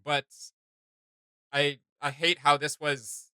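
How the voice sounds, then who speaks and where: Guy doing reaction chat, really bright punchy voice, kinda high, emphatic, super dry close sound. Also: little pitch movement, clean tone, dry recording. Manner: emphatic, halting and repetitive, informal. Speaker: reaction video host. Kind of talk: casual opinionated reaction chat.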